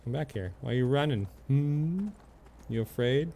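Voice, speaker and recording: A man's voice: medium pitch, animated, normal speed, dark tone, slight background noise. A man doing casual, playful live gameplay commentary, talking to the characters in the game and swearing now and then. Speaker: game streamer.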